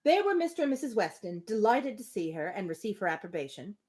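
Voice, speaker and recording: A woman reads a period novel aloud to listeners. Her medium-pitched voice is very animated, moving easily between narration and casual comments. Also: fast talker. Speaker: live book reader. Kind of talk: expressive novel reading with casual asides.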